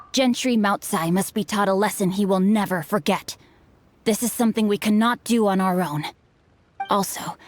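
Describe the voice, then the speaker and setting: A formal, chivalrous young swordsman, played by a man with a light, fairly high voice for a video game. He talks in stately, carefully measured phrases. A faint hiss sits behind the voice. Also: lightly acted.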